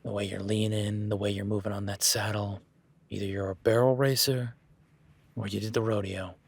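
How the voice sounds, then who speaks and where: A man's voice: lowish voice, little pitch movement, normal speed, creaky, husky. A man playing a rugged, easygoing cowboy rancher who teasingly sizes up a new ranch hand, speaking straight to the listener in a laid-back way. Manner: teasing, laid-back, talks softly to his horse. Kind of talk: first-person audio roleplay aimed at the listener.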